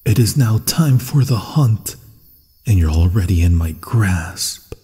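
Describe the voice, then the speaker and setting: A predatory werewolf, acted out by a man, speaks low and very slowly in a dark, breathy voice that often sinks to a whisper. He is possessive and hungry, coaxing in a menacing way, as in an ASMR fantasy roleplay. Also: lively intonation, in a large hall, exaggerated voice. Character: predatory, possessive male werewolf hunting its human prey.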